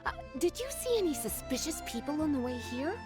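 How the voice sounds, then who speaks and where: A woman's voice: sits high, very animated, a little fast, over music. A woman playing a sultry, teasing librarian in a video game, coy, languid and playful.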